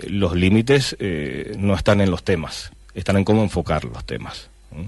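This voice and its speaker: A man's voice: deep voice, animated, normal speed, darkish tone, dry recording. A middle-aged man from Argentina, a cartoonist guest answering radio interview questions with many fillers and restarts.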